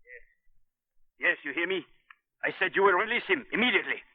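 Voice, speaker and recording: Formal, menacing police official, higher-pitched. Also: male voice, animated, husky. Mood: tense. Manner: formal, measured, silkily threatening. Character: smooth, menacing French colonial police official, man. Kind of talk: 1940s radio drama acting. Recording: tinny 1940s radio recording.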